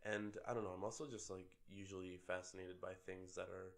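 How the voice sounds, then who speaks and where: A man's voice: deep voice, level tone, a little fast. A young man co-hosting a casual podcast chat, sick with a cold and rambling with many filler words. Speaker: podcast co-host.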